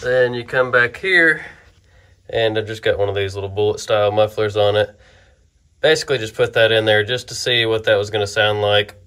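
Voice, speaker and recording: A man casually narrating his work on a truck in his garage shop, relaxed and rambling as he talks while working. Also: fairly low pitch, moderate pace, clean tone.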